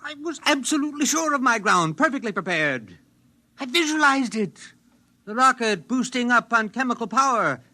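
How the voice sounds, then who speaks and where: A self-important idealist makes his case in 1950s radio drama style. His voice is high and very forceful, swinging widely in pitch as he declaims with zeal and insistence. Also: animated, moderate pace, full character voice. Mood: fervent, insistent. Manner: fervent, declamatory speechmaking.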